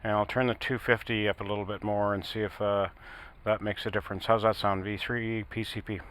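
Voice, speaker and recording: A man working as an amateur radio operator, talking in a halting, matter-of-fact way in a casual technical on-air chat. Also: fairly low pitch, little pitch movement, noisy background.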